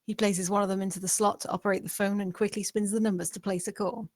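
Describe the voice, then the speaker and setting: A woman's voice: medium pitch, fairly even intonation, fast talker, no echo, clean studio sound. A woman reading out screenplay stage directions as scripted narration.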